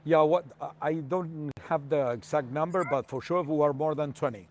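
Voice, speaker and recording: A man from Argentina whose first language is Spanish, a soccer commentator speaking careful, halting English with many fillers and a Spanish accent as a guest on a remote call. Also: fairly high pitch, darkish tone. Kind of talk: casual interview by remote call.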